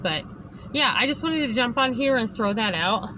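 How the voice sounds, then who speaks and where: A woman vlogger with a hoarse voice, talking emphatically straight to the camera and often tagging lines with "okay?". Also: on the high side, lively intonation, moderate pace. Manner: emphatic, keeps adding "okay?". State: hoarse, lost voice. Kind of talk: direct-to-camera rant. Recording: background noise.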